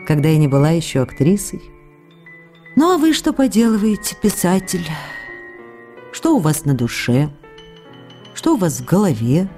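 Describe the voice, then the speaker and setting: A fond, playful letter-writing actress in a radio drama; low, mellow voice. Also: female voice. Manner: playful, affectionate, wistful. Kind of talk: radio play letter reading. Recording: studio radio play with soft music.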